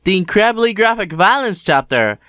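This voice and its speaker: A woman narrating a children's storybook in a steady, expressive read-aloud manner. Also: medium pitch, a little fast, no room sound, studio quality. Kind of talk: storybook reading.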